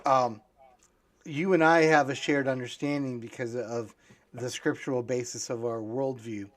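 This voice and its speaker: A man's voice: medium pitch, steady tone, measured pace. A man, an author, talking in a casual discussion, hesitant and thinking aloud as he goes.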